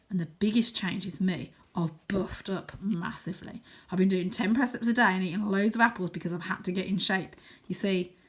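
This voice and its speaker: A woman reading aloud puts on an excitable boy, half wizard and half ninja, from a children's story. He is boastful and chummy, and he delivers everything with theatrical relish. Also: medium pitch, breathy edge. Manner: dramatic, switching between shouts and whispers.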